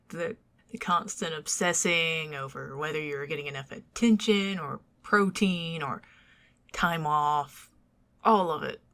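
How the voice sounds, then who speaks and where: A bashful, lovestruck young dragoness, played by a Southern woman, murmurs low and slow across the dinner table. Her voice has a gravelly fry at the edges. She drifts into whispers and fumbles her own jokes. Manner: soft, intimate, drifting into whispers; self-deprecating, joking, flustered. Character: shy, affectionate young dragoness on a dinner date. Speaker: ASMR performer. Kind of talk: ASMR roleplay.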